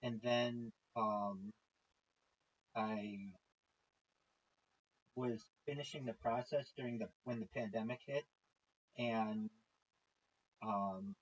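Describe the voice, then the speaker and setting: Brief: husky man, unhurried, halting delivery with fillers, dull lo-fi recording. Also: lowish voice. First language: not Hebrew. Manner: halting, with many pauses and fillers. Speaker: rabbi. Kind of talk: casual chat while playing golf.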